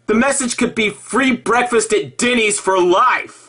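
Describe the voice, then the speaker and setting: A man delivering an improvised sarcastic rant in an exasperated, mocking way, halting and repeating himself, with profanity. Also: sits high, pushed and bright, slightly rough. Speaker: video commentator.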